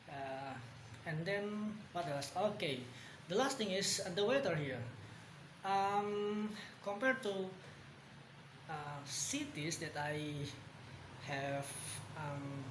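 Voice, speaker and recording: Young man, Indonesian accent, slow hesitant speech, echoey room, noisy background. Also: a little high, animated. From Bandung, West Java, Indonesia. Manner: hesitant, many ums and pauses. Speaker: vlogger. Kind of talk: casual spoken vlog.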